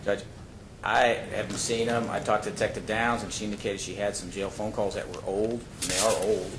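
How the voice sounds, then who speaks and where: A man's voice: fairly low pitch, little pitch movement, brisk. A man speaking as a prosecutor, explaining himself to a judge in an informal, repetitive way. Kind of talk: courtroom argument to a judge. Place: indoor courtroom. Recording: echoey courtroom recording with loud noise.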